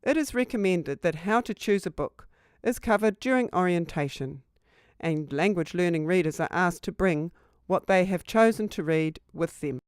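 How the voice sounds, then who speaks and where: A woman's voice: on the low side, lively intonation, moderate pace, clean tone, no room sound, studio quality. A woman reading a scripted instructional narration for a training video.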